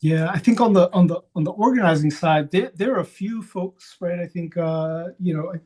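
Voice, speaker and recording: Male panelist from New York, slightly high voice, reflective, halting, unscripted organizer talk. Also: a little mellow. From Queens, New York, USA. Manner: reflective, halting, punctuated with "right?".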